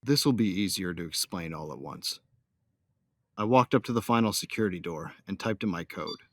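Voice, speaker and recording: A man's voice: low voice, lively intonation, moderate pace, dry recording. A man playing a devoted young starship captain, narrating his own story in a science fiction audio drama. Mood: earnest, tender. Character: young newlywed starship captain, loyal son of smugglers, devoted husband. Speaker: first-person narrator and character.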